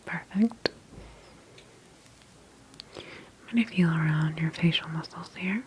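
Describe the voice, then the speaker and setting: Woman, ASMR doctor roleplay: soft whisper, very unhurried delivery, close and gentle, with audible background noise. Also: deep voice, poor audio. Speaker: ASMR performer playing a doctor.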